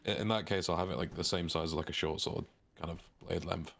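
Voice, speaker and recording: A man's voice: low voice, fairly even intonation, fast, gravelly, fairly bright tone. A man playing a tabletop game, chatting casually as he improvises.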